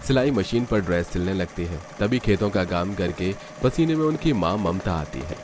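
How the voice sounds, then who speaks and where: Low-voiced male cartoon story narrator. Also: animated, moderate pace, noisy background.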